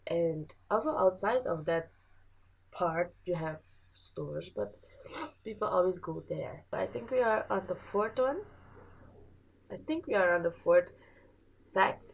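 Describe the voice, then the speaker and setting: A young woman from Suriname with a Surinamese accent, whose first language is Dutch, reading facts aloud and chatting about them in a halting, laughing vlog. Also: fairly low pitch, gravelly, slightly rough, poor audio. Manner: chatty, halting, restarts words, laughs often. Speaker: vlogger.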